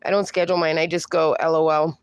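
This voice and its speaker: A woman's voice: low voice, level tone, fast talker, clear, resonant tone, dry recording. A woman hosting a casual livestream, reading viewer comments aloud and answering them in a chatty, reassuring way. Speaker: livestream host.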